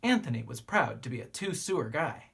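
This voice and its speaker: A teacher reading a children's picture book aloud in an animated way, with drawn-out emphasis and a slightly husky voice. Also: mid-range voice, slight background noise. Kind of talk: expressive children's storybook read-aloud.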